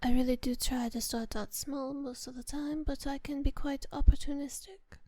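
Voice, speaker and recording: A kind vampire mistress, played by a woman, speaks in a high yet velvety soft voice, slipping often into whispers. She is close on the mic in a very dry space, like an ASMR roleplay. Her phrasing is refined and unhurried, tender toward the listener and quietly in command. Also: fairly even intonation, no room sound, natural voice. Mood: reassuring. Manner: refined, formal diction. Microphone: close microphone in a dry space.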